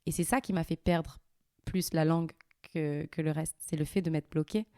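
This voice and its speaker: Soft-spoken woman in her thirties hosting. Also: low voice, fairly even intonation, moderate pace, no echo, clean studio sound. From Algeria, raised in France. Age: 30s. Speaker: host interviewing her mother. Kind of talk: casual interview.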